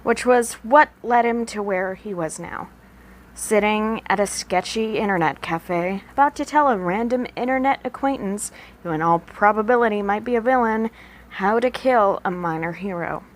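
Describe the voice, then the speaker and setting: A woman's voice: mid-range voice, moderate pace. A woman narrating a story as an amateur audiobook reader, working from a written script. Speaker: podfic reader.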